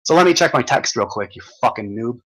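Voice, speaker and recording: A man hosting a livestream, ranting in a profane, stumbling, repetitive way while reading comments, his voice going hoarse. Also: mid-range voice, expressive, fast, a bit bright. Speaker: livestream host. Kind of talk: rambling livestream rant.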